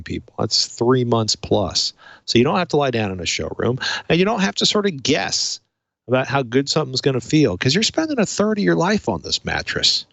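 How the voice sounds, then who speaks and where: A man's voice: mid-range voice, creaky, a bit bright, no room sound, highs cut off. Man hosting a tech podcast, dry close sound. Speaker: podcast host. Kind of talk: casual tech podcast talk and host-read ad.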